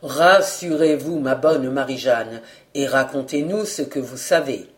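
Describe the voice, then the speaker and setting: A woman reader voicing a sincere, kindly young male journalist in an audiobook, speaking in a measured, formal way. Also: deep voice, darkish tone, pitched below the performer's own voice. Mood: reassuring.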